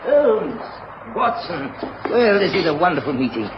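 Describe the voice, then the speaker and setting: A hearty retired English police superintendent who now keeps a country pub. He is chatty and fairly high for a man. The old radio recording is dull and clearly noisy. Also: big pitch swings, measured pace. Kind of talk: radio drama acting.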